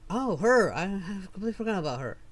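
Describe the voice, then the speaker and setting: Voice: medium pitch, sing-song, fast talker. A streamer giving casual live gameplay commentary, rambling and thinking aloud.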